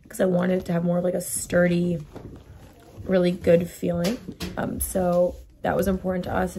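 A young woman in her twenties speaks in a low, hoarse, gravelly voice after losing it. She films herself on a phone at arm's length in her bedroom and chats casually, dropping "like" everywhere. A faint hiss sits under the recording. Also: warm tone. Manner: chatty, says "like" constantly. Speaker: vlogger. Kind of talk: casual vlog chat. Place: bedroom, indoors. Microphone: phone camera at arm's length.

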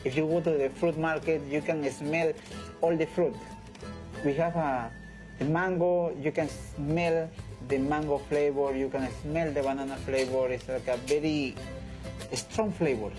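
A man in his thirties from Peru with a Peruvian Spanish accent, a chef speaking halting English in an informal interview. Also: on the high side, poor audio. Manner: halting non-native English with repeated phrases. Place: indoors in a restaurant. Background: music.

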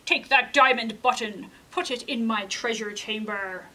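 Woman as a grumpy greedy king, children's storybook, imperious, loud, emphatic orders, high, bright forceful voice. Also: unhurried, slight background noise. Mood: angry commanding. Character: greedy, bad-tempered male king barking orders. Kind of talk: dramatic children's storybook reading.

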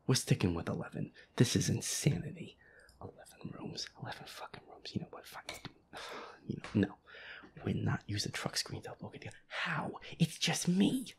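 A young man giving casual gameplay commentary on a horror game, swearing and muttering jitterily in a hushed, breathy voice. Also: medium pitch, very animated, normal speed. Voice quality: breathy, hushed, often whispering. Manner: profane, jittery, muttering to himself and at the game's ghost. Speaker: gamer playing a horror game.

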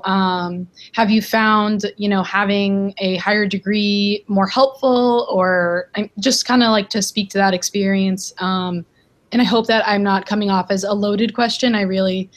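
A young adult woman asks a question over a video call from an office with a window. She sounds polite and hesitant, often pausing on fillers as she finds her words, in a voice of middle pitch. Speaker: audience member asking a question.

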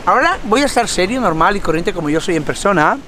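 A man in his thirties with a Spain Spanish accent, chatting casually and excitably as a playful family vlogger. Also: high voice, fast. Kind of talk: casual vlog chat. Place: seaside house terrace and pool, outdoors. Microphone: handheld camera mic. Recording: noisy outdoor action-camera audio.

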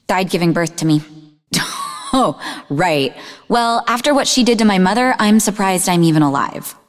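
An actress playing a sarcastic, defensive young woman in a video game, speaking in an expressive, conversational way. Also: mid-range voice, big-room sound. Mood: bitter, angry. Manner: snarky, conversational, emotionally expressive. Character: sarcastic, defensive young woman, idealistic martial-arts student and researcher. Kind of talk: video game character dialogue.

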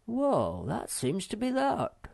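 A woman's voice: medium pitch, wildly swooping pitch, slow pace, creaky, darkish tone, no echo, clean studio sound, voice pushed up, exaggerated voice. A woman voicing a cheerful, curious young male troll in a storybook reading, sounding eager.

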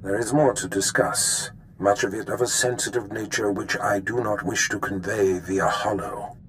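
A man's voice: on the low side, steady tone, normal speed, a bit bright, a little hiss or hum, natural voice. A man voicing an imperious, gloating Sith lord in a video game, pompous, formal and commanding. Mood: secretive, commanding.

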